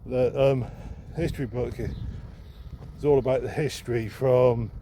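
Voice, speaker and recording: A man's voice: mid-range voice, fairly even intonation, unhurried, mellow. Man rambling casually over street noise. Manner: rambling, hesitant, thinking aloud. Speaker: second-hand bookseller and vlogger. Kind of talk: casual unscripted vlog musing. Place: outdoors, walking along a town street. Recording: outdoor street noise with passing traffic. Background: street ambience and vehicles.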